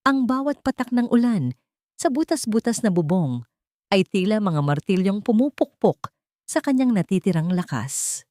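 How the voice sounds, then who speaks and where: A woman's voice: medium pitch, very animated, moderate pace, darkish tone, no room sound, clean studio sound. Woman narrator with dramatic, swooping delivery. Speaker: audiobook narrator. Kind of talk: dramatic scripted storytelling.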